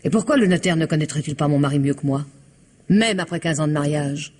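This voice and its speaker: A woman's voice: low voice, a little fast. An actress playing a reticent, proud woman whose husband has vanished, guarded and emotional under questioning in an old radio drama. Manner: guarded, emotional, sometimes dropping to a hush. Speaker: wife of a missing man. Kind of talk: 1960s French radio drama acting.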